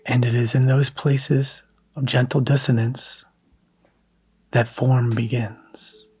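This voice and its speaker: A man guiding a scripted meditation in a soft, hushed, breathy manner, leaving long pauses between phrases. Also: mid-range voice, flat delivery, faint music behind. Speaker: meditation guide.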